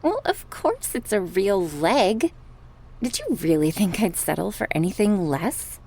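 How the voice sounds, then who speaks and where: A woman's voice: medium pitch, sing-song, darkish tone. A woman playing a cruel, sadistic goddess who taunts sarcastically in a horror ASMR roleplay. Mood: smug. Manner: taunting, sarcastic, slow and drawn out with sighs. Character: sadistic goddess, mocking, cruel, playfully menacing captor.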